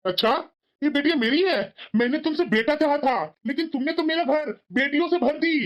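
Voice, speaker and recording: Woman as a high-pitched, resentful cartoon husband. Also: a little fast, lo-fi sound, close to an everyday voice. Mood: bitter, irritated. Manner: complaining. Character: resentful, weary husband and father of four daughters. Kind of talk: Hindi moral-story cartoon dialogue.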